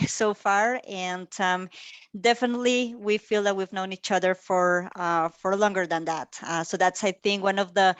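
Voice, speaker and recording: A woman's voice: medium pitch, smooth, no echo. Woman, 30s, from Costa Rica, online presentation, chatty with lots of ums, dry close sound. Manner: warm, chatty, filler-heavy with frequent ums. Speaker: guest speaker. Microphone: video call.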